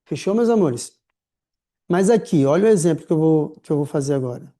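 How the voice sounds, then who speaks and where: A man's voice: fairly high pitch, brisk. Chatty male teacher. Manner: lively, chatty, slangy, affectionate with students. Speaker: writing teacher. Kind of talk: casual recorded lecture.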